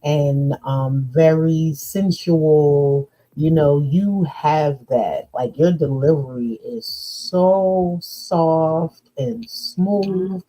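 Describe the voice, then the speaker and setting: A woman hosting a podcast, chatting slowly and loosely with lots of fillers. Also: low-pitched, dark tone, low-quality recording. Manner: slow, drawn-out, chatty with many fillers. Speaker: podcast host. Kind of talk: casual chat.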